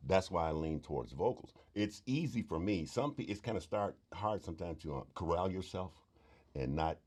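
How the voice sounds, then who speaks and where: Super deep gravelly guy, musician telling stories, swings up and down a lot. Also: normal speed. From Arkansas. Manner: animated storytelling with sudden loud outbursts. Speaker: musician guest. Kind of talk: casual chat.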